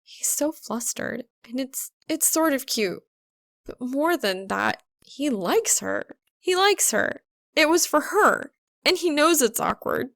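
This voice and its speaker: A woman's voice: high voice, expressive, slow, creaky, a bit bright, dry recording. A woman narrating scripted fiction as an audiobook reader.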